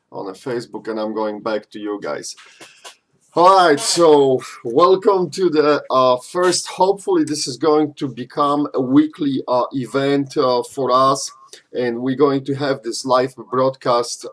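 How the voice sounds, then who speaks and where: A man from Poland, hosting a casual live-stream Q&A and answering chat questions in a loose, chatty way full of fillers. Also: lowish voice. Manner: loose and chatty, frequent "uh" and "you know", slightly non-native phrasing.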